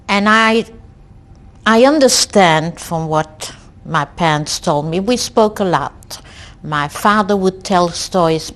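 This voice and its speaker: A woman in her sixties from Belgium speaks in an unhurried, low-set voice. She tells her family's story in an oral history interview. She pauses and restarts often, reflective. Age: 60s. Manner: slow, reflective storytelling with frequent hesitations. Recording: archival 1990s interview tape.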